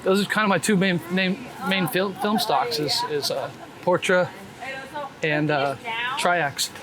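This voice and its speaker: A man's voice: high voice, fairly even intonation, measured pace, a loud crowd. A man chatting casually and candidly in slangy, sweary talk while out walking on a city street. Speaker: guest, street photographer and former BMX vlogger. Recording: noisy city street with traffic. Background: clear traffic and street noise.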